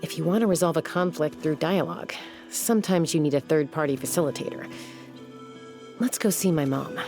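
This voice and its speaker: A composed young woman from a video game. Her voice is low with a gravelly creak, and she speaks in a measured, matter-of-fact way, cool and low-key, over faint music.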